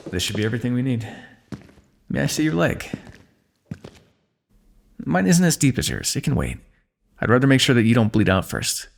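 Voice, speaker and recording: A man voicing a kingdom's ruler who gently chides and teases a wounded companion while tending his injury, in an intimate fantasy roleplay. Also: mid-range voice, big pitch swings, moderate pace, vocal fry, long pauses, a little hiss or hum. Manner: gentle, chiding, teasing, close and intimate. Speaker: ASMR roleplay performer. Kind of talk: scripted fantasy ASMR roleplay.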